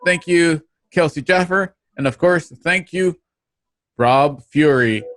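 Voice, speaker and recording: A man hosting an online show, giving a casual, halting sign-off with plugs and thanks. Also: a little high, a bit bright, no echo, clean studio sound. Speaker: host of an online radio drama show.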